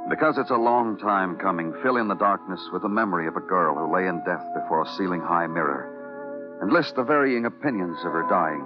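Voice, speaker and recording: An American actor playing a weary, steady police detective who narrates in a measured, clipped, brooding way in an old radio crime drama. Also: male voice, sits low, lightly acted. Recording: dull old radio recording with music.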